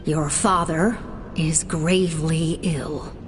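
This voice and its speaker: A woman playing an earnest, troubled letter-writer in a video game, speaking deliberately. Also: deep voice, fairly even intonation, faint music behind. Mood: worried, pleading. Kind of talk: dramatic video game voice acting. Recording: long reverb, faint ambient noise.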